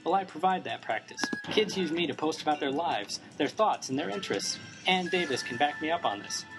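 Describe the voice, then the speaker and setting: A man's voice: mid-range voice, close to an everyday voice, low-quality recording. A man playing an earnest, defensive young informant, a personified blog, in an amateur noir radio drama, explaining himself.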